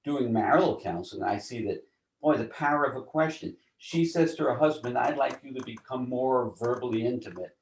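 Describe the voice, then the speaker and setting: A man explaining ideas as an interview guest in a deliberate, teacherly way, often posing rhetorical questions. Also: medium pitch, vocal fry. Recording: roomy, echoing voice.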